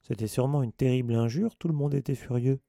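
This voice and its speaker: Male reader doing a direct schoolboy wizard, dark, creaky tone, dry. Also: medium pitch, fast, no echo, voice pushed up, natural voice.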